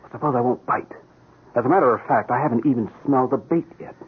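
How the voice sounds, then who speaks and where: An actor playing a gruff, wary police sergeant in a radio mystery drama, blunt, skeptical and conversational. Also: male voice, mid-range voice, fast talker. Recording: noisy, tinny old radio broadcast.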